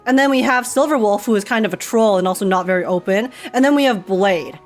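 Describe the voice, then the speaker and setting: A woman streamer giving a casual live reaction to a game story, expressive and slangy, often repeating phrases for emphasis. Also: medium pitch, quick pace. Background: game music and dialogue.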